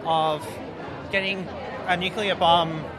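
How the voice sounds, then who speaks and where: A man's voice: high-pitched, fairly even intonation, slow pace. A man with an Australian accent talking casually and in a rambling way in a street interview. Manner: rambling, peppered with "like". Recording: noisy crowd recording in public. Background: crowd.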